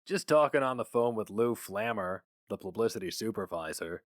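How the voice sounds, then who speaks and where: A man voicing a chummy, smoothly persuasive company recruiter with a folksy, chatty, breezy manner. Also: fairly low pitch, animated, moderate pace, no echo, studio quality, lightly acted. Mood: chummy, coaxing.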